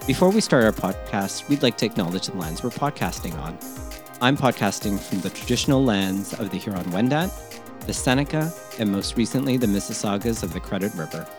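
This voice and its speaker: A man's voice: on the low side, lively intonation, brisk, music in the background. A man raised in Canada, co-hosting a casual podcast and encouraging his guest as he asks questions. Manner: warm, encouraging interviewer. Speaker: podcast co-host.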